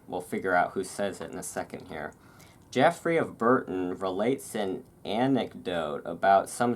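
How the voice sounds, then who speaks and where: A person reading aloud in a relaxed way with casual, rambling asides, halting and self-deprecating about it. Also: sits low. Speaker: reader and host. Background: thunderstorm ambience.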